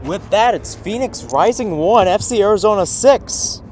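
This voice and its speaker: A man's voice: high voice, lively intonation, normal speed, darkish tone. Man calling live soccer over outdoor noise. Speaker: play-by-play commentator. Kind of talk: live soccer radio commentary. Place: outdoors at a youth soccer field. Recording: noisy outdoor ambience, traffic hum.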